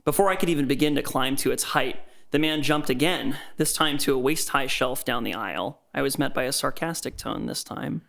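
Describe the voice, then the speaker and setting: A man's voice: medium pitch, brisk, gravelly, hardly any pauses, fairly bright tone. An actor playing a frightened captive office worker, narrating his ordeal in the first person as an earnest, tense horror story. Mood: tense dread.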